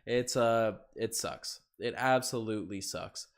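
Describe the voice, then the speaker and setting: A man's voice: fairly low pitch, unhurried. A stuffed-up man with a cold hosting a casual post-game reaction stream, rambling with frequent restarts. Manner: rambling fan talk with many restarts, swings loud and soft. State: congested with a cold. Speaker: sports podcast host.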